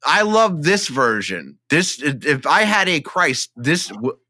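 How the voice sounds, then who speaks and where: Male co-host, slightly high voice, dry close sound, animated and jokey in loose film conversation. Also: takes it slowly, no room sound. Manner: animated, joking, interjecting. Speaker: podcast co-host. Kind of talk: casual film discussion.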